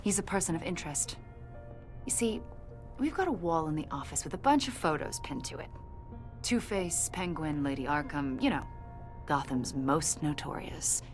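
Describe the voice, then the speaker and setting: An actress playing a confident government agent in a video game, questioning in a pointed, insinuating and accusatory way. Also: female voice, deep voice. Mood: pressing. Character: probing female government agent, confident, accusatory, smoothly needling. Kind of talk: video game dialogue acting.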